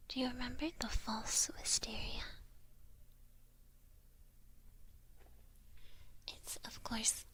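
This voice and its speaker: Woman playing a whispering, sly evil witch. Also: fairly high pitch. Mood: softly menacing. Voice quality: breathy whisper. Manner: unhurried, condescending, softly menacing. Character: sly, haughty evil witch, coaxing and condescending. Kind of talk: whispered ASMR roleplay.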